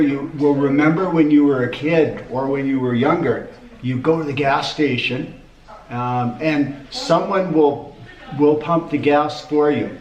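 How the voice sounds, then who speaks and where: A man's voice: fairly high pitch, darkish tone. A middle-aged man chatting casually as co-host, wry and hesitant, often restarting his sentences. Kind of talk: casual chat. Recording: dull echoey room, mic at a distance.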